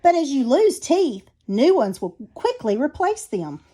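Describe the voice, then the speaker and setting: A woman's voice: high-pitched, sing-song, a little slow, warm tone. A woman voicing a caring, determined mother shark in a children's storybook, with a soothing, reassuring manner.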